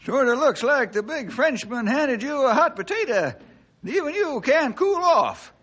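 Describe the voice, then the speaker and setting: An actor playing a folksy, excitable old sidekick in a radio drama, talking like a rustic old-timer. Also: male voice, very high voice, a little slow, exaggerated voice. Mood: folksy, reassuring. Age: older.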